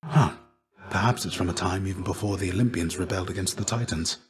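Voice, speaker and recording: A man acts out a gentle, battle-weary warrior shade from a video game, a mentor with a very low, soft-edged voice. He speaks in measured, courteous phrases with an old-fashioned formality. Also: lightly acted. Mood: musing. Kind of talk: video game character acting.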